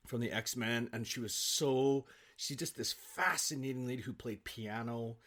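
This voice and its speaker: A man, probably middle-aged, telling anecdotes casually in an animated, self-deprecating, halting way as an interview guest. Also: medium pitch. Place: home office, on a remote call.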